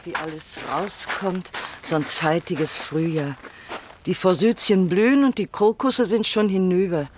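A woman's voice: fairly low pitch, a little slow, noisy background, lightly acted. An actress playing a chatty, nosy grandmother in a radio crime drama, homely and rambling in manner. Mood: chatty, fretful. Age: older. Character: chatty, nosy older housewife neighbour, a grandmother.

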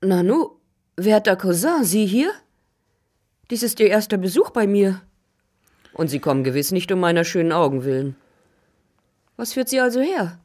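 Woman acting a lively, sly spinster cousin. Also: mid-range voice, normal speed, long pauses, natural voice. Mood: mock surprise. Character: sly, sardonic spinster cousin of modest means. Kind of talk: German radio drama acting.

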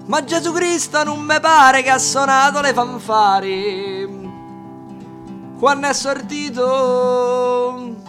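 A man's voice: very high-pitched, takes it slowly. A man with a Roman accent singing wry, mocking folk verses while playing guitar. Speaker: singer and guitarist.

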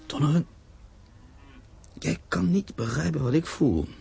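A man's voice: on the high side, unhurried, vocal fry, mellow. A man acting in a Dutch radio drama as a proud, grieving castle owner, speaking solemnly and emphatically. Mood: bitter, sorrowful.

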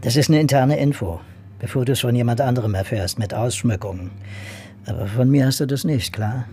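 An actor playing a wry, laconic police detective in a naturalistic radio drama. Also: male voice, mid-range voice, brisk, warm tone, faint music behind, close to an everyday voice. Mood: dry, teasing.

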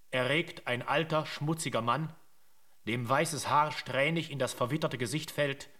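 The narrator of a radio drama. His voice sits a little high. He chronicles events in a scripted, matter-of-fact way. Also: a bit bright.

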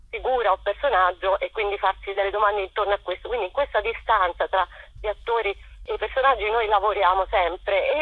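A woman, a theater author and actress, explaining her work hesitantly as a guest in a spontaneous interview over the phone. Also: medium pitch. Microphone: telephone call. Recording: tinny, muffled telephone line with echo.

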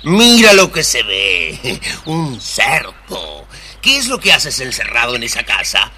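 A man voicing a hungry, menacing, wheedling wolf in a children's story recording, with a theatrical, drawn-out delivery. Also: mid-range voice, wildly swooping pitch, bright, forceful tone, heavily acted.

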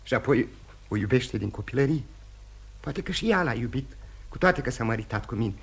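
An actor playing a remorseful, courteous, dissolute gentleman husband in theatrical radio drama style, candid and halting with repetitions. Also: medium pitch, lively intonation, vocal fry, slightly rough. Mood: earnest, candid. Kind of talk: mid-century Romanian radio theatre acting.

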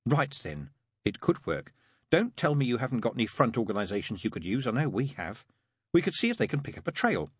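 Storybook angel, played by a man: fussy, proper, earnest, precise, with swooping melodic delivery and a breathy edge. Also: medium pitch, big pitch swings, fairly quick, no echo, studio quality, pitched above the performer's own voice. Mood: insistent.

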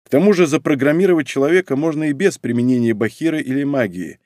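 A man narrating an audiobook in the first person, in a measured, wry reading. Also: a little high, a little mellow, no echo, studio quality.

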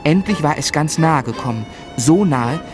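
An actor playing a grave, shaken narrator recalling a tragedy, with measured, dramatic literary delivery in a radio play. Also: male voice, a little high, expressive, over music, natural voice. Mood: anguished suspense. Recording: studio radio drama with musical underscore.

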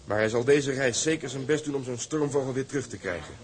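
An actor playing a seasoned, resigned sailor talking frankly and reflectively over drinks in a Dutch radio drama. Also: male voice, medium pitch, quick pace, natural voice.

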